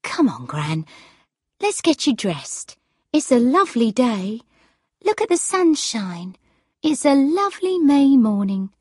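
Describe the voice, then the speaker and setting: A woman's voice: high-pitched, very animated, slow pace, a little mellow, slightly rough, no echo, studio quality, pitched above the performer's own voice, full character voice. A woman voicing a patient, cheerful granddaughter in an audiobook story, coaxing and soothing her grandmother with encouragement. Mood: encouraging.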